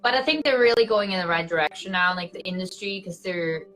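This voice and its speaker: Young swiss woman, lowish pitch but bright tone, chatty actress, faint music under her. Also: quick pace, poor audio. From Switzerland. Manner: animated, fillers like "like" and "you know". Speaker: actress, guest. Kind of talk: casual interview chat.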